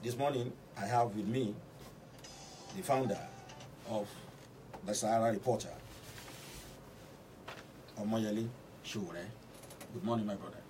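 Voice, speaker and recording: A man's voice: lowish voice, steady tone, unhurried, pauses often, over music. Distant-miked Nigerian male radio host. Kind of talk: formal news commentary and interview introduction. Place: radio studio. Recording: distant mic with faint background noise.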